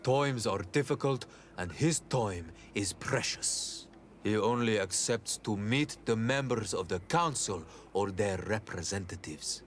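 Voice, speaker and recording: Slow, low-voiced stern gatekeeper played by a man. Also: a little hiss or hum, lightly acted. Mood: firm. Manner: slow, formal, unyielding. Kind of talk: video game cutscene acting.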